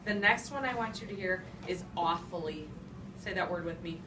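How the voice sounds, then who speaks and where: A woman's voice: on the low side, moderate pace. A woman teacher reading aloud to young children and giving them instructions, with simple repeated phrases and emphasized key words. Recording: echoey, noisy classroom, far microphone. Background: young children talking, room noise.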